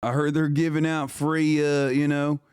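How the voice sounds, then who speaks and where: A swaggering mercenary bro with a drawl, pitched lower than his usual voice, as a man puts him on, calling everyone brother. He sounds smooth and close on a dry desk mic, with no room around him. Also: flat delivery, moderate pace, darkish tone, no room sound, natural voice. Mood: smug. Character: swaggering male mercenary bro, laid-back.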